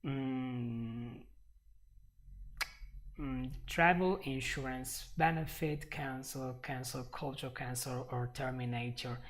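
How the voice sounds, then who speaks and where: Slow, gravelly Italian-accented teacher. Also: male voice, medium pitch, fairly even intonation. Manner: patient, repeats and restarts while explaining. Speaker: English teacher. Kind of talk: live-stream English lesson.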